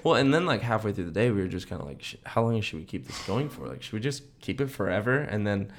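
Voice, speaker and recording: A young man with a slightly low voice co-hosts a podcast. He tells stories casually and slangily, acting out the people in them in an animated way. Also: fast talker. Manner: animated slangy storyteller, acts out quotes. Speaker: podcast co-host. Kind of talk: casual chat, storytelling.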